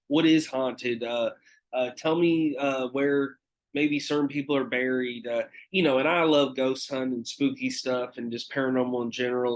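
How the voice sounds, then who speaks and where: A man's voice: mid-range voice, poor audio. A man hosting his own podcast alone, talking casually with many fillers and some swearing, sounding nasal because he is getting over a cold. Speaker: podcast host. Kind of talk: casual solo podcast talk.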